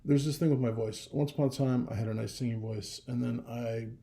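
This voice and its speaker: Gravelly young man, low dark voice. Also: brisk. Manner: rambling, animated storytelling with frequent fillers. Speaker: vlogger. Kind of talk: casual personal vlog testimony.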